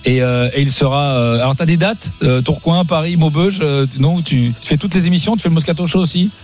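A man's voice: a little high, a little fast, soft background music. A man co-hosting a radio sports talk show, joking and teasing the guests in playful banter. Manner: teasing, jokey, animated. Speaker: radio co-host.

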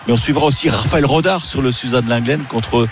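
A man's voice: medium pitch, fairly quick, noisy background. A man giving a tennis report on sports radio, chatty and rambling, correcting himself as he goes. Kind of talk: casual sports radio chat.

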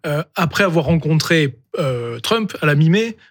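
A man giving a deliberate account as a guest on a TV news panel, with some restarts. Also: fairly high pitch, animated. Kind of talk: TV panel debate.

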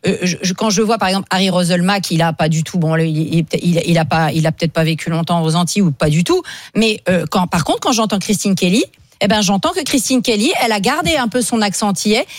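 A woman's voice: on the low side, fast talker, dry recording, studio quality. A woman on a talk radio panel giving her opinions casually, with many hesitations. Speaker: radio regular.

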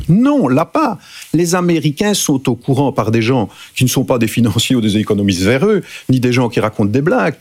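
Man, debate panelist, husky tone, very lively pitch, emphatic, argumentative. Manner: emphatic, argumentative, rising to sharp peaks. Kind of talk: televised debate.

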